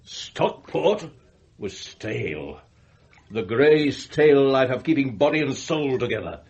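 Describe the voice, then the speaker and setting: Slow, swooping, theatrical rich magnate. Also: male voice, medium pitch, sing-song, a little mellow. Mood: bitter, relishing disgust. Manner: rhapsodic, savouring, declamatory. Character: wealthy theatrical male magnate, morbidly eloquent about his grim past. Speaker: actor. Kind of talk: radio drama acting.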